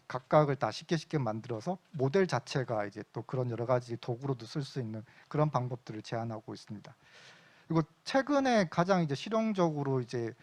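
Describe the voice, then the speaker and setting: A middle-aged man giving an invited lecture at a conference, relaxed and conversational, with frequent fillers. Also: mid-range voice. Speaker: invited speaker. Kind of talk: conference talk. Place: lecture venue.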